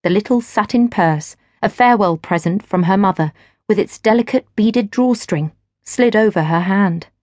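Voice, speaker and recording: A woman reading literary fiction as an audiobook narrator, in measured, expressive storytelling. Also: medium pitch, no room sound, studio quality. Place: dry studio.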